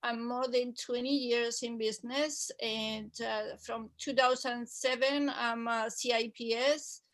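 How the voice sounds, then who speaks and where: A middle-aged woman realtor gives a self-introduction on a video call. She speaks slowly, in a fairly high voice. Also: steady tone, a bit bright.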